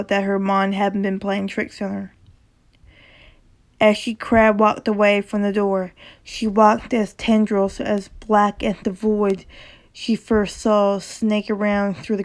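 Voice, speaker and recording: Woman with a sore, creaky throat. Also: mid-range voice, level tone, slow pace. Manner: stumbles and corrects herself while reading; reacts casually between passages. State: sore throat, slight rasp. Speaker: horror story reader. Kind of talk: casual horror story reading.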